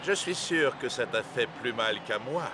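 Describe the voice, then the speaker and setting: A man's voice: medium pitch, animated, normal speed, noisy background, close to an everyday voice. Man acting a proud giant cartoon goalkeeper. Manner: self-assured, ominous. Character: giant, proud, imposing male Aztec goalkeeper. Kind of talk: dubbed cartoon dialogue. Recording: music behind the voice.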